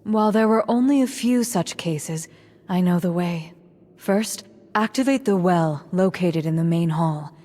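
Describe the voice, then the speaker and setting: An actress playing a composed witch of a castle coven, formal and measured, in a video game. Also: lowish voice, dark tone. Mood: formal, cool. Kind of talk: dramatic video game cutscene acting. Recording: long hall reverb.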